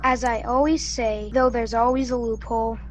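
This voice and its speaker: A woman playing a cautious, deliberate young tech-minded colonist in a student sci-fi radio play. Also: a little high, fairly even intonation, slight background noise, natural voice. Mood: determined.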